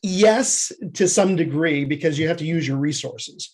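Husky, higher-voiced man chatting as interview guest. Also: normal speed, darkish tone. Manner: chuckles often while talking. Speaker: guest screenwriter and director. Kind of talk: casual podcast interview.

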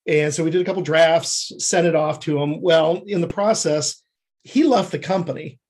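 A man with a fairly high, husky voice talks as a guest on an interview show. He tells his stories conversationally, often slipping into quoted dialogue to make a point. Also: normal speed, a little mellow. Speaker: podcast guest, screenwriter.

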